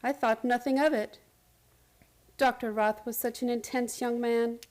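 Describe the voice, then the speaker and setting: Really high voiced actress doing a troubled lady schoolteacher, intense n pleading, radio play style. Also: fairly even intonation, unhurried, darkish tone, close to an everyday voice. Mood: confiding, wistful. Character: earnest, distraught female high school physics teacher pleading for help. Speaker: narrator and lead character of radio play. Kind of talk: radio drama acting.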